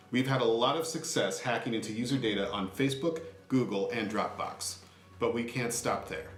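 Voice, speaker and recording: Man playing a sketch boss, brisk and incredulous, roomy echo, faint music under him. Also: fairly low pitch. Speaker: agency boss in a comedy sketch. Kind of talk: sketch comedy acting. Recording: echoey home recording with music.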